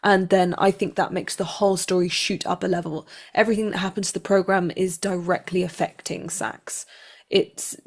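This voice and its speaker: A woman's voice: fairly low pitch, steady tone, moderate pace, husky. A woman speaking as an actor being interviewed, answering in a reflective, articulate and conversational way.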